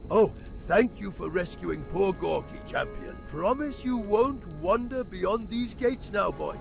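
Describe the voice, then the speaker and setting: A man playing a fretful old orc father in a fantasy game, with a creaky, pleading voice. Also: high voice, expressive, measured pace, music in the background, heavily acted. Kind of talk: video game character dialogue.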